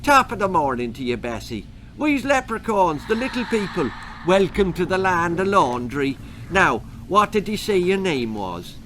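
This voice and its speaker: A man's voice: sits high, sing-song, moderate pace, few pauses, a bit bright, a little hiss or hum, voice pushed up. A man voicing a tiny, cheery, forgetful old leprechaun with an Irish accent and a chatty, folksy manner. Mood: cheerful, muddled. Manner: chatty, folksy, repetitive greetings.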